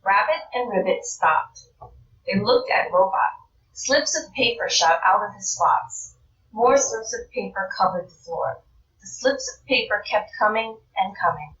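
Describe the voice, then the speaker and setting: A woman narrates a children's storybook at a slow, deliberate pace. Her reading is expressive. The recording is echoey and slightly distant, with faint noise. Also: medium pitch, muffled recording. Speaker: storybook reader. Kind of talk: children's read-aloud narration.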